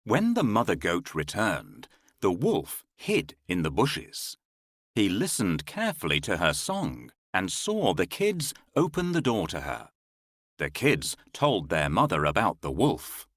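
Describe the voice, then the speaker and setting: A man reads a children's story in a low voice that rises and falls a great deal. His narration is unhurried and recorded dry. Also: huge pitch swings, a bit bright, dry recording.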